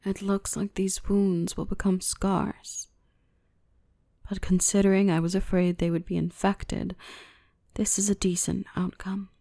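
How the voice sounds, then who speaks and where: A woman playing a gentle, protective dark elf mistress in a soothing, reassuring ASMR roleplay, often whispering. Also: mid-range voice, level tone, unhurried, gravelly, no echo, studio quality. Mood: tender, reassuring.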